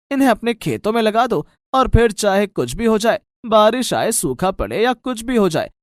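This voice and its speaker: A man voicing a tiny, nervous magical dwarf from another world, pleading and earnest, in an animated moral story. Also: sits high, no echo, studio quality.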